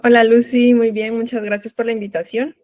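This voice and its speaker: A woman's voice: on the high side, flat delivery, brisk, a little mellow, no echo, lo-fi sound. A woman in her thirties from Guatemala with a Guatemalan Spanish accent, a lactation consultant chatting as an interview guest, often adding little tag questions. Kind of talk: conversational interview.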